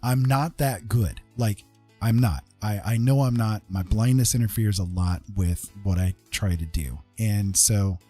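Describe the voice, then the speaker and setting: A man with a husky voice chatting casually as he paints on a live stream, rambling and self-deprecating, often checking that listeners follow. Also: lowish voice, measured pace, dark tone, soft background music. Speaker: artist and writer hosting a live stream.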